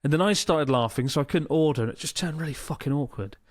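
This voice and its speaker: A man's voice: a little high, fast talker, dry recording, studio quality. A man co-hosting a podcast in casual chat, telling stories with plenty of swearing. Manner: swears freely, animated storytelling. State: in pain from a strained arm muscle. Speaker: podcast co-host.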